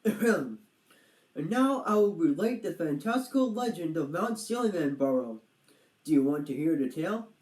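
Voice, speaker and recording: Woman as a hearty, lively gentleman turtle explorer. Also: low voice, normal speed, roomy sound, recorded from a distance. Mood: grand. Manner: theatrical, old-fashioned chummy pomp. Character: hearty, confident adventurous male turtle, chummy gentleman explorer.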